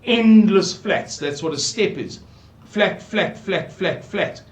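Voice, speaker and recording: Slow, musing older South African man. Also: high-pitched, expressive. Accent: South African English. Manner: slow, musing, repeats words for emphasis. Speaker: Bible teacher. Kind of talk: unhurried scripture commentary. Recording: echoey room.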